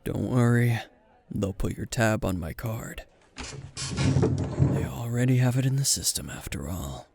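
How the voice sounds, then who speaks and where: A man playing a gruff, weary, tipsy hero teacher who is dryly flirtatious, in an intimate, deadpan ASMR roleplay with a gravelly voice. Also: heavy background noise. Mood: flirtatious, soft. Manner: low-key, dry, deadpan, unhurried.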